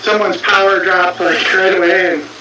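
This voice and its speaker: A man's voice: high-pitched, fairly even intonation, normal speed, heavy reverb, distant mic, noisy background. A man of about fifty chatting enthusiastically and joking with fellow cyclists. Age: 50s. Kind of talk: casual chat.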